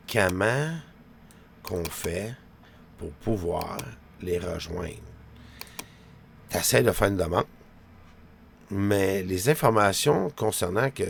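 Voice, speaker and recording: A man's voice: sits low, vocal fry, a little hiss or hum. A man with a Quebec French accent giving a rambling, colloquial monologue to viewers, full of little verbal tags. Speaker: vlogger.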